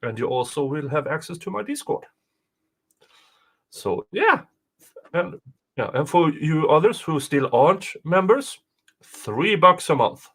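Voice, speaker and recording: Bright-voiced man, slow casual streamer. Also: a little high, very animated, breathy edge. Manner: jokey, quick to laugh. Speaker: livestream host. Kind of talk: casual livestream chat.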